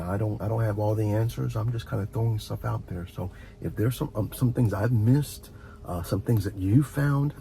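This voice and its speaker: A middle-aged man talks through heartfelt advice in a mellow, fry-edged voice. He is reflective and earnest, often stopping to repeat a word before carrying on. A faint low rumble of noise sits behind him. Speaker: host of a relaxation and advice channel. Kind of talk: informal heartfelt advice monologue.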